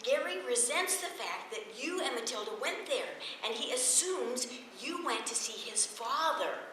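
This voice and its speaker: An earnest, slightly bossy grown daughter in a vintage American radio serial. Her voice is high and bright, emphatic yet hesitant. The old broadcast is heavily echoey and distant. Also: lively intonation, normal speed, a little hiss or hum. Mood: explaining. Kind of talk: old-time radio soap opera acting.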